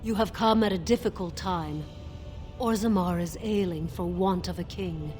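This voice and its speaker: A woman playing a troubled dwarven citizen in a video game, speaking formally and gravely. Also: on the low side, normal speed, darkish tone, soft background music, close to an everyday voice.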